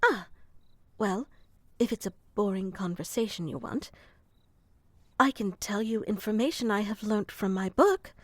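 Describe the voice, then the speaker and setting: A woman voicing a gentle, slightly scatterbrained female moth creature in a sleep roleplay. She speaks softly and slowly into a close microphone and sometimes drops to a whisper. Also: medium pitch, lively intonation, dry recording. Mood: soft, musing. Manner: soft-spoken, slow and musing, slipping into whispers. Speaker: ASMR performer.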